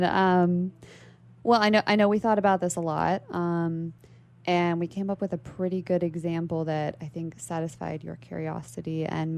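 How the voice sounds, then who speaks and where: A woman with a creaky voice speaking as an interview guest, explaining literary ideas in a thoughtful, conversational way. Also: lowish voice, a little mellow. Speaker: library worker. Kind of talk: conversational literary discussion on a radio show.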